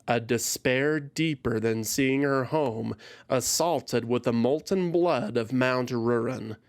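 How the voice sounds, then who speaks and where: A man reading scripted fantasy fiction as a narrator, in a solemn, deliberate and dramatic way. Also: medium pitch.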